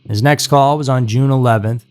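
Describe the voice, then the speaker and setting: A man's voice: medium pitch, normal speed, darkish tone. A man reading scripted true-crime narration as a narrator. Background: music.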